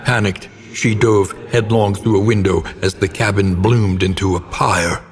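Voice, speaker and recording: An actor playing a grave, brooding older narrator of gothic horror tales in a video game, with solemn, theatrical and deliberate phrasing. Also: male voice, on the low side, steady tone. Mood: grave, ominous. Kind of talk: dramatic scripted storytelling narration. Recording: long reverb, clear background noise.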